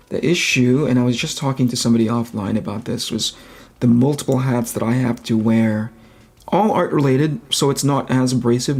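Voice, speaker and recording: A man with a relaxing, slightly raspy voice at an ordinary male pitch. He talks casually, as a streamer does while working, and reflects aloud, often reaching for the right word before going on. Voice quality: relaxing, soft-edged voice. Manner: reflective, searching for words, thinking aloud. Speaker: livestream host, comic book artist. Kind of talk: casual livestream chat while drawing.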